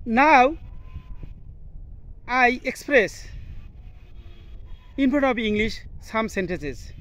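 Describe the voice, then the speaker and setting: A man from Bangladesh, a native Bengali speaker with a Bangladeshi accent, giving an instructional vlog outdoors in halting, simple English. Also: extremely high pitch, animated, fairly bright tone, low-quality recording. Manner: halting, simple learner's English with short broken phrases. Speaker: English teacher and video host. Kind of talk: instructional vlog, talking to camera. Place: outdoors, open scenic spot. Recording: outdoor noise, faint birds calling.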